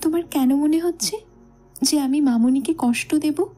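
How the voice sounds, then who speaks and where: A woman playing a shy, loving young bride in a romantic audio drama, with a soft, sweet delivery. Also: sits high, normal speed, lightly acted. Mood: sincere. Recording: music bed under the voice.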